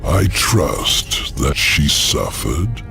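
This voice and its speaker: A man voicing an imperious, scheming demon lord in a video game, gravelly and grandiose. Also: very deep voice, very animated, bright, forceful tone, full character voice. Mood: dark satisfaction. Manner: grandiose, deliberate. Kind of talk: video game cutscene acting. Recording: music under the voice.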